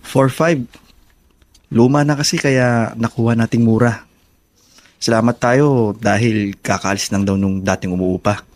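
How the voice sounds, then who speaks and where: Isang lalaking aktor na gumaganap bilang binatang kuya, taimtim at mapagpalakas-loob, sa dulang panradyo. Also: male voice, medium pitch, fairly even intonation, unhurried, long pauses, close to an everyday voice. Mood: matter-of-fact. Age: young adult. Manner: earnest, reassuring. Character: determined, protective young adult older brother. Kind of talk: Filipino radio drama acting.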